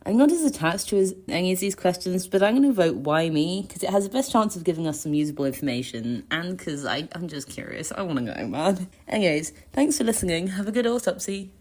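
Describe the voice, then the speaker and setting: Female listener message, lowish pitch, informal, wry with playful melodrama. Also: animated, brisk, hardly any pauses, a little mellow. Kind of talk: casual recorded voice message.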